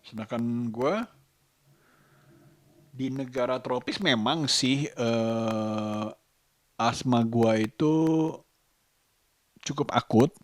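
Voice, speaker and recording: A man from Indonesia talks casually to his vlog, thinking aloud in a reflective, halting way as he searches for words. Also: mid-range voice, no echo, studio quality. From Sukabumi, West Java, Indonesia. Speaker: vlogger, host of his own daily vlog.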